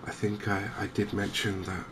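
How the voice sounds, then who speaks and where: A man giving softly spoken, cozy gaming commentary in a gentle, unhurried, musing way. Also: fairly low pitch. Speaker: gaming video host. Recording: clear steady ambient background noise.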